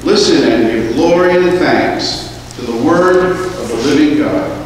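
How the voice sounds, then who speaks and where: Guy reading the epistle slow in church, long reverb, faint hiss. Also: a little high, lively intonation. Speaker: lector. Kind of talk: scripture reading. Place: large church sanctuary. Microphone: far room microphone. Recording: echoey church recorded from far off.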